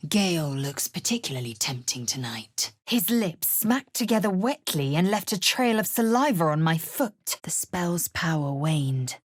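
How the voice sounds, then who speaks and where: A stern, imperious alien warrior woman for a video game. Her voice sits low and has a husky grain. She speaks slowly in formal, clipped phrases, proud and fierce. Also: sing-song, dry recording, clean studio sound, exaggerated voice. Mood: commanding, seductive. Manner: clipped, formal, haughty delivery. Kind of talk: video game character voice acting.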